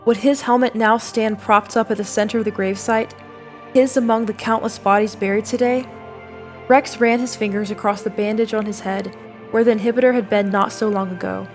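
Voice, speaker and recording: A woman giving scripted dramatic narration for an audio drama, telling the story in a measured, solemn way. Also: fairly high pitch, steady tone, faint music behind.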